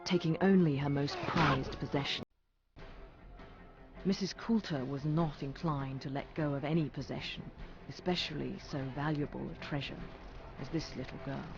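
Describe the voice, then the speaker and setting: A man acting as a long-lived storyteller, narrating a young girl's tale in a measured, wistful, literary manner for a game. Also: on the high side, fairly even intonation, vocal fry. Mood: solemn, wistful. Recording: dull audio under loud music.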